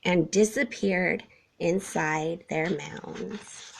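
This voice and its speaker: A woman reading a children's story aloud in an expressive narrating style. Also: fairly low pitch, very slow, gravelly, background noise. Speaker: storybook reader.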